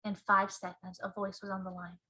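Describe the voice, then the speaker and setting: A woman reading a children's storybook aloud as a teacher, with expressive narration. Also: mid-range voice, brisk.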